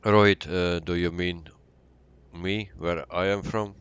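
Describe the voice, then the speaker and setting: A man with a Belgian accent giving casual, halting, unscripted commentary as he live streams a flight simulator. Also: low voice, steady tone, a bit bright, clean tone, highs cut off. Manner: halting, unscripted, answering chat. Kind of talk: casual livestream commentary while playing.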